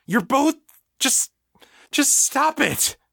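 A man's voice: mid-range voice, lively intonation, normal speed, bright, forceful tone, dry recording, studio quality. Man acting a firm, pleading male peacemaker. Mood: panicked. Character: reasonable young man, protective peacemaker facing violent friend.

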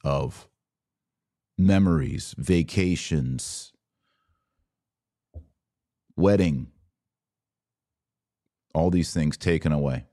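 A man hosting a podcast, giving solo commentary on the news in an earnest, emphatic way with short, deliberate phrases. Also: rumbling low, warm tone.

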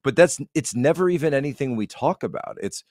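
A man in casual, opinionated podcast conversation, telling stories in a rambling, animated way. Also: medium pitch, fairly quick, no room sound, clean studio sound.

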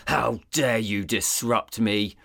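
A man voicing a hot-tempered male police chief who barks and shouts his orders. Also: fairly low pitch, slow, gravelly, dry recording, studio quality, full character voice. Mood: angry. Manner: barking, shouting.

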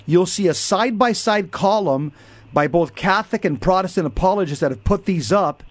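Man, radio co-host, earnest and emphatic, fairly high voice, close dry studio mic. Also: normal speed, no room sound. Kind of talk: radio talk show storytelling and teaching.

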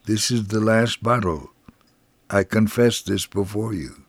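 A man's voice: on the low side, measured pace, husky, dry recording. A man voicing a poor, despairing alcoholic father in a narrated devotional story, burdened and confessional. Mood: confessing, despairing.

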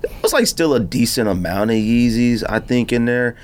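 A man's voice: medium pitch, expressive, normal speed. A man hosting a podcast, talking in a casual, slangy, conversational way. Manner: informal slangy talk. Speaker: podcast host. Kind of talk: casual sneaker podcast chat.

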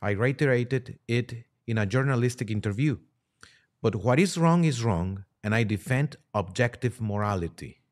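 A man reading quotes aloud and commenting on them as a podcast guest, with halting, deliberate phrasing. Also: mid-range voice, animated.

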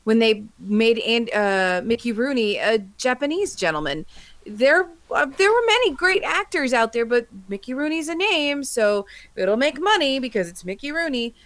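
A woman's voice: fairly high pitch, big pitch swings, normal speed, fairly bright tone. A woman on a podcast panel talking casually and bluntly, with an emphatic, exasperated delivery. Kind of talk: casual opinionated panel discussion.

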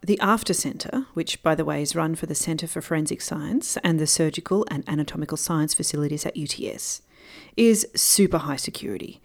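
A woman podcast host with a lowish voice and plenty of vocal fry. She narrates in an informal, explanatory way, talking directly to the listener and putting questions to her guest. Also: a little fast. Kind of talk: conversational narration and interview.

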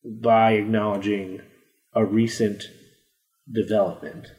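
A man's voice: on the low side, little pitch movement, takes it slowly, a little mellow, poor audio. A man co-hosting a podcast in casual banter, rambling and self-deprecating, with lots of uh and like. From United States. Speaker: podcast co-host.

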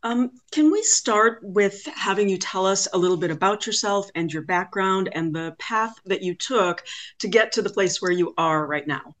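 A woman's voice: mid-range voice, lively intonation, moderate pace, fairly bright tone. A woman interviewer introducing her guest and asking questions politely over an online call. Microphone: video call.

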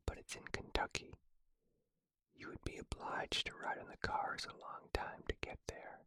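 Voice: moderate pace, sharp tone, no echo, lightly acted. Crafty storybook girl, acted by a reader, whispered, feigned alarm, dry close sound. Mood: matter-of-fact.

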